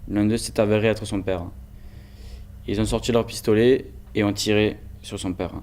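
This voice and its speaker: A man's voice: lowish voice, fairly even intonation, normal speed, a little mellow. A teenage boy telling his grandfather's story in an earnest, hesitant way. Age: teens. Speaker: student telling family history. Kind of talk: personal testimony, documentary voice-over.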